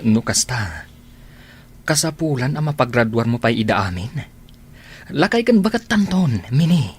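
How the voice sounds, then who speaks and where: A man's voice: medium pitch, big pitch swings, moderate pace, husky. A man acting in a Filipino radio drama as a devoted, impatient boyfriend, emotive, with an Ilocano accent. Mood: pleading, hurt.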